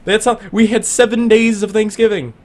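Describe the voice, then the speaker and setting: Playful high-voiced young man. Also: steady tone, moderate pace, a little mellow. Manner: playful, jokey, rambling. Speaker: radio show co-host. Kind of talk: casual banter.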